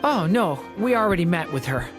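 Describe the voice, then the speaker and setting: An actor voicing a polite, gentle being newly in human form in a game cutscene. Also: medium pitch, very animated, a little fast, loud music behind the voice, natural voice.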